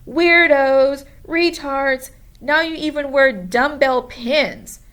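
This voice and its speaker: A woman reader plays a mean schoolboy bully from a storybook. He snarls and mocks, sneering through slow, drawn-out taunts at a raised pitch. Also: fairly bright tone. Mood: jeering. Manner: mocking, snarling.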